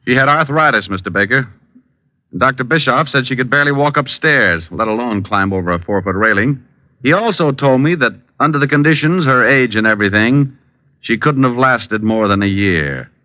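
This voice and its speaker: Man as a cool, dry-witted radio detective; mid pitch, dull lo-fi old broadcast. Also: expressive, moderate pace, dry recording, natural voice. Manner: dry, wisecracking, coolly interrogating. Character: wisecracking male private eye, adult, cool and cocky. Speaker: private detective Richard Diamond. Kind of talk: 1940s radio acting.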